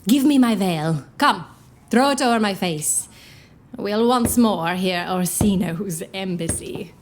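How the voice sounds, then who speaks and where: A woman's voice: medium pitch, expressive, takes it slowly, slight background noise. A woman playing a proud, witty young noblewoman in a Shakespearean audio drama, with a haughty, teasing, theatrical delivery.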